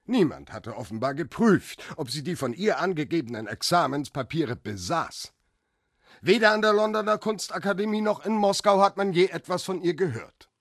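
An actor playing a pointed, factual television reporter delivering a scripted news report in a radio play. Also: male voice, fairly high pitch, husky, no room sound, clean studio sound, exaggerated voice.

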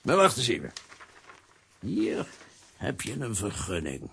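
An actor playing a scheming, crooked company director in a children's radio play, sly and condescending. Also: male voice, on the low side, wildly swooping pitch, slow pace, gravelly, a bit bright, slight background noise, heavily acted.

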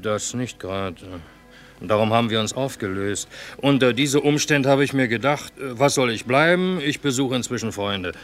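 A man's voice: mid-range voice, lively intonation, moderate pace, faint music behind, close to an everyday voice. A man playing a wry, grumbling army cook out of work, in a colloquial, teasing radio drama style. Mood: weary, grumbling. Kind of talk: theatrical radio drama acting.

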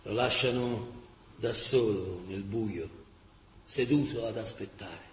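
An actor playing an impassioned chronicler of a wartime city in a radio drama, speaking in a dramatic scripted style. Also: male voice, fairly low pitch, takes it slowly. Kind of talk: dramatic scripted historical narration. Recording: roomy echo, faint background noise.

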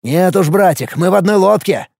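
A man's voice: sits high, moderate pace, gravelly, darkish tone, dry recording, studio quality, pitched above the performer's own voice. A man voicing a jealous, menacing older brother who schemes desperately and pushes insistently in an audiobook story. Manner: pressuring, insistent.

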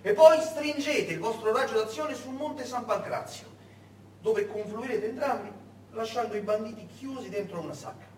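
Un uomo che fa un maggiore tedesco, voce acuta. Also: male voice, sits high, expressive, moderate pace. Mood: coolly menacing. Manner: clipped orders with prodding check questions. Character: brisk, smugly commanding German major briefing his officers. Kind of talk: theatrical monologue acting. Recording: echoey room, distant mic, faint noise.

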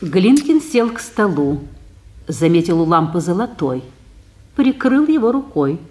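A woman's voice: lowish voice, big pitch swings, a little slow, warm tone. A woman reading scripted narration of the stage action in a radio broadcast of a play.

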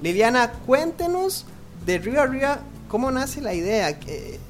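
A woman's voice: high-pitched, very animated, a little slow, soft background music. A woman hosting a radio program, introducing a guest and asking questions. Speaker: radio host. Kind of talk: radio interview presenting.

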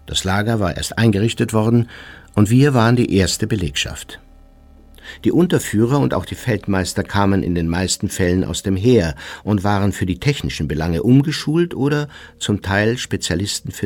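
A man's voice: lowish voice, a little mellow. A man playing an aging former Nazi officer who recounts his youth in a formal, self-justifying memoir narration. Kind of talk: scripted first-person memoir narration.

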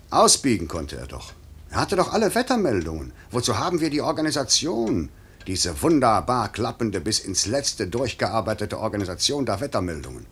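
An actor playing a stern, demanding middle-aged airline director in a 1950s German radio drama, giving clipped commands and impatient rebukes. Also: male voice, on the low side, a bit bright, a little hiss or hum. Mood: brooding, worried. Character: stern, demanding airline director, gruff but secretly fond. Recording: slightly muffled 1950s radio recording.

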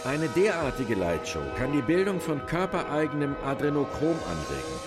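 An actor playing a pompous doctor dictating a psychiatric report in a German radio play, detached, officious and precise. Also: male voice, a little high, very animated, normal speed, over loud music. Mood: clinical, pompous.

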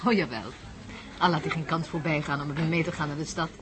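A woman's voice: sits low, steady tone, quick pace, noisy background, close to an everyday voice. A woman acting in a radio drama as a spirited, caring farmer's wife, frank and playful. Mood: chatty.

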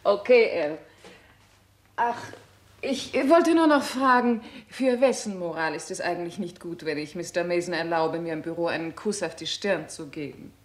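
A fairly low female voice of an actress plays a self-assured secretary in a sixties German radio thriller. She is cheeky and unafraid to talk back. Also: normal speed, natural voice. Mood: cheeky, teasing. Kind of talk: 1960s German radio crime drama acting.

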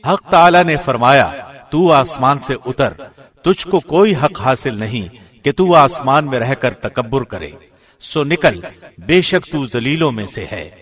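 A man reading a scripture translation from a script in a measured, formal, carefully phrased way, like a professional radio presenter. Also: medium pitch, animated, a bit bright.

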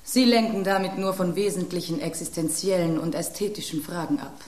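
A woman's voice: fairly low pitch, fairly quick, a little mellow, echoey room, natural voice. An actress playing a vain rose who calls herself queen of the flowers, lecturing in an affected, haughty way in a radio play.

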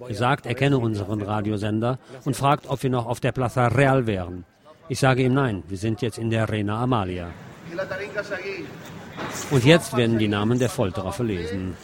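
Man reporting over clear crowd noise. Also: lowish voice, normal speed. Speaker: radio reporter. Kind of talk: reportage narration over a street protest. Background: protest crowd and street sounds.

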